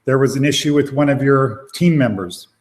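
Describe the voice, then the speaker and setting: A man's voice: mid-range voice, normal speed, a little mellow. A man with a mid-range voice hosts a casual interview. He asks friendly questions and keeps things moving with short prompts. The sound is thin, like a call line. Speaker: interview host.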